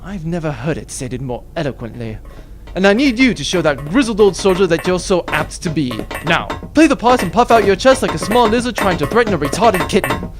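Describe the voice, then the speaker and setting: A man's voice: high-pitched, big pitch swings, brisk, music in the background. A man playing a cocky, glib spaceship captain in a grandiose, theatrical way for a comedic sci-fi audio drama. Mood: breezy bravado.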